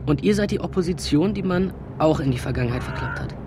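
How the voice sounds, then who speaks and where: High-voiced, curious casual young man played by an actor. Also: lively intonation, quick pace, lightly acted. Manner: colloquial, inquisitive. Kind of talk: naturalistic German radio drama acting. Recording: noisy ambience behind.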